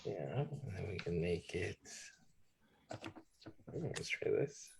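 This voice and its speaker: A man leading a drawing demonstration, talking in a relaxed way as he draws. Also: sits low, slow pace, vocal fry, a little hiss or hum. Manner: relaxed, talks while drawing. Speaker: art instructor. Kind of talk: drawing demonstration, thinking aloud.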